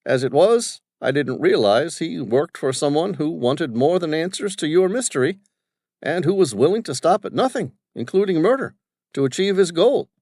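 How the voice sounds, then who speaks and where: A haughty, moneyed old businessman who sneers at anything that does not pay, put on by a male narrator. The voice sits high and swoops with scorn. It is recorded close and dry. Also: lively intonation, normal speed, a little mellow, no room sound. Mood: pompous. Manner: pompous, condescending. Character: arrogant wealthy older businessman father.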